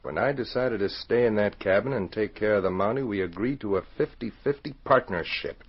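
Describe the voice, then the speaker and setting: A man's voice: fairly low pitch, fairly quick, breathy edge. A man acting a hard, distrustful ex-convict in a 1940s radio drama, insistent and menacing, on a muffled old recording. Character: hard, distrustful ex-convict pressing a blackmail demand.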